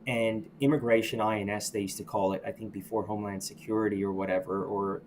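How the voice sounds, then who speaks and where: A middle-aged man with a fairly low voice tells his own story as a podcast guest, halting and reflective, circling back and restarting his sentences. There is a faint hiss of noise under the recording. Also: little pitch movement. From United States. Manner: reflective, halting, with many restarts and "you know". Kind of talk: candid personal storytelling.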